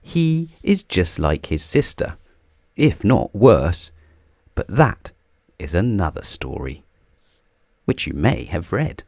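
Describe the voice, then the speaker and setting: A man reading a children's storybook aloud in a theatrical, deliberate way, drawing out key words for emphasis. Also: sits low, big pitch swings, vocal fry, darkish tone, no room sound, clean studio sound. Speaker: children's book reader. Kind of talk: scripted storybook read-aloud.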